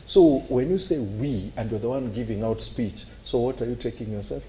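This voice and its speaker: Man, Kenyan accent, dark mellow tone, animated, argumentative, talk show commentator. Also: medium pitch, normal speed, slight background noise, poor audio. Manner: animated and argumentative, acts out scenes with sound effects. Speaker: political commentator.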